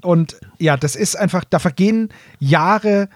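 A man's voice: high voice, measured pace, dry recording, clean studio sound. A man, a podcast co-host, explaining fantasy lore in casual chat.

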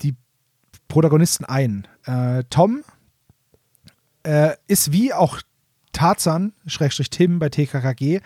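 A man with a fairly high voice talks as a podcast co-host. He chats casually and explains carefully, often rewording his points. The sound is close and very dry. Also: takes it slowly, long pauses, darkish tone, no echo. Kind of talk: casual nostalgic chat about audio dramas.

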